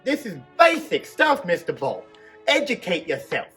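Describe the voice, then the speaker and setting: Sarcastic, bright-voiced male reviewer. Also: high-pitched, moderate pace, slightly rough, faint music behind. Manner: sarcastic, mocking, animated. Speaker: movie reviewer host. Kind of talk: comedic movie review narration.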